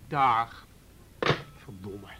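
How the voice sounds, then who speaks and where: A man's voice: lowish voice, drawn-out words, sharp tone, slight background noise, heavily acted. A man acting a dry, sardonic, worried policeman in a naturalistic radio drama. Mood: worried, exasperated. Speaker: police officer.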